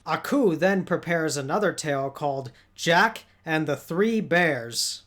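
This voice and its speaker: A man's voice: a little high, animated, measured pace, a bit bright. A man reading a scripted recap of a cartoon episode as a video essay narrator.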